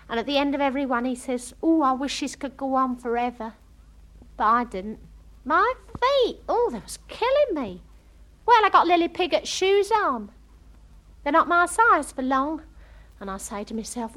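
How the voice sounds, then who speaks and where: A woman's voice: a little high, a bit bright. An actress playing a chatty, romantic young English woman with a working-class accent, gossiping in a comic monologue. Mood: wry, animated. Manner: gossipy, dramatic storytelling, quoting others.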